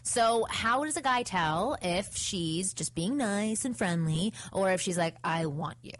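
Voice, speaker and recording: A woman hosts a casual advice podcast at a middling pitch. She talks conversationally, often turning questions over to others on the panel. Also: expressive, a little slow. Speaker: podcast host.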